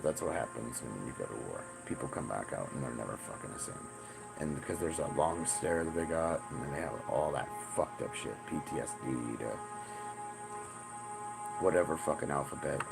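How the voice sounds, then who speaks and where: A man's voice: extremely low pitch, fairly quick, creaky, over loud music. A man in his forties talking in a rambling, profane monologue, often repeating himself. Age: 40s. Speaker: self-styled spiritual teacher.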